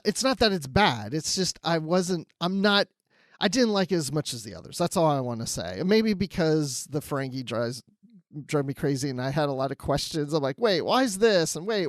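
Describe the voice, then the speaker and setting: A man's voice: on the high side, dry recording, studio quality. A man co-hosting a podcast, talking casually and conversationally through a review. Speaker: podcast co-host.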